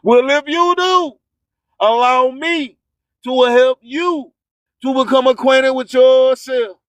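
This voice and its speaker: A man with a strikingly high voice hosts a radio show in a dry, close sound. He speaks slowly and emphatically, preaching in streetwise slang and repeating rhymed motivational slogans for weight. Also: steady tone, a bit bright, no echo. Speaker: radio host.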